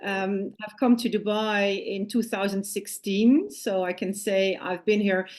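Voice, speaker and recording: Dutch-accented woman in her forties, interview guest on a video call, halting with plenty of ums. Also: medium pitch, darkish tone. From Netherlands. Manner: hesitant, many fillers. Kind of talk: online interview conversation.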